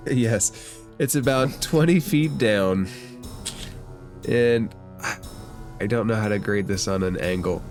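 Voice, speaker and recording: A man's voice: fairly low pitch, takes it slowly, long pauses, darkish tone, soft background music. A man running a tabletop game as game master, often restarting and repeating words as he narrates.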